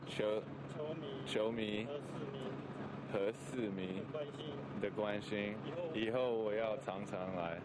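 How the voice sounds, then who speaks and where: A man speaks slowly and with care, in a low, deliberate voice, as he thanks fans. A loud crowd fills the space behind him. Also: poor audio. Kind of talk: speech to fans.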